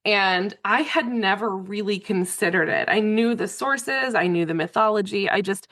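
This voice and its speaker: A woman's voice: mid-range voice, moderate pace, a bit bright, no room sound. Enthusiastic chatty woman podcast host. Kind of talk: casual conversational interview.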